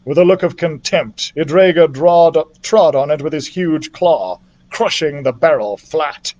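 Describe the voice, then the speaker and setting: A man's voice: on the high side, unhurried, darkish tone. A man reading a children's story aloud expressively as a library storyteller.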